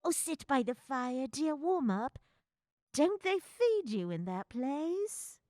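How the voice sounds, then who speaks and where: Woman playing a high, fussing Victorian mother. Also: big pitch swings, slow, darkish tone, no echo, studio quality, exaggerated voice. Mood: tender, fussing. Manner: motherly, fretting, exclamatory. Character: fussing, affectionate working-class mother in Victorian London. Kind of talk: community radio drama acting.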